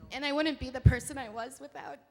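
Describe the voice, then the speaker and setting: A woman's voice: high voice, steady tone, quick pace, gravelly. Nervous female fan in an echoing hall. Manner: nervous, giggly, hesitant. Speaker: fan asking a question from the audience. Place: audience aisle of a convention hall. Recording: reverberant hall with faint noise.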